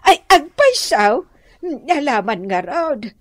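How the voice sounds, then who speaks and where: A woman's voice: high voice, sing-song, extremely slow, no echo, clean studio sound, exaggerated voice. A woman acting a talkative, easily annoyed village wife in a radio comedy drama, with an Ilocano accent. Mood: wheedling, teasing.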